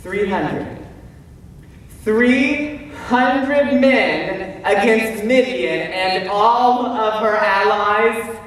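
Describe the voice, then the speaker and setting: Man acting high-voiced Gideon in an echoing hall. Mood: daunted. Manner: theatrical, emphatic, with deliberate pauses and hesitant stammers. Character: Gideon, fearful, self-doubting biblical warrior telling his story. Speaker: stage actor. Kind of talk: dramatic stage monologue. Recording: distant mic in echoey hall, faint noise.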